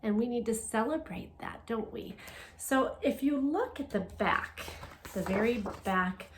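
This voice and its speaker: Female elementary teacher for a children's read-aloud: higher-pitched voice, very unhurried delivery, simple and encouraging address to young listeners. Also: animated, slight background noise. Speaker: elementary school language teacher.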